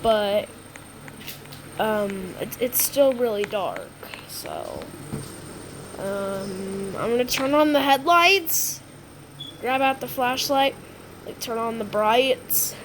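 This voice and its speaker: A woman improvising a disaster roleplay for a game video, speaking haltingly with repeated words and stumbles. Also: medium pitch, big pitch swings. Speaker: gamer recording a roleplay video. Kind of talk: improvised disaster roleplay with mock alert announcements. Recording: clearly noisy background.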